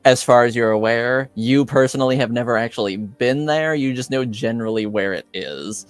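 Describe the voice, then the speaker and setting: A man improvising narration as the game master of a tabletop game, haltingly searching for his words. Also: medium pitch, no room sound.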